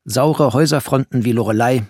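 An actor playing a bookish, wry narrator, speaking in clipped poetic phrases in a literary radio play. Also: male voice, medium pitch, brisk, a little mellow, husky, dry recording, clean studio sound, natural voice. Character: bookish, wry, lyrical male narrator, a resettler.

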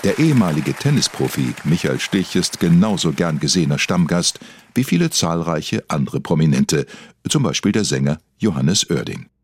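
Deep, husky male documentary narrator. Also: very animated, moderate pace, a faint crowd. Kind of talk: scripted mock-documentary narration.

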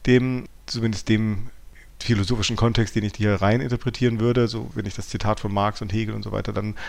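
A man co-hosting a podcast, discussing philosophy reflectively and haltingly as he thinks aloud and corrects himself. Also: fairly low pitch, flat delivery, gravelly, darkish tone. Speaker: podcast co-host.

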